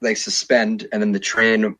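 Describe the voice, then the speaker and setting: A man's voice: on the low side, completely flat delivery, unhurried, bright, forceful tone. A man in his twenties co-hosting a podcast, chatting casually with lots of fillers and restarts. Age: 20s. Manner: rambling, many fillers and restarts. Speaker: podcast co-host.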